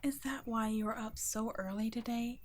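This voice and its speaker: A woman playing a gentle, protective vampire caretaker in an intimate ASMR roleplay, speaking softly and breathily, often nearly whispering. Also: on the high side, fairly even intonation, normal speed, close to an everyday voice. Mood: gently firm. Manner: soft, breathy, tender, often dropping to a whisper.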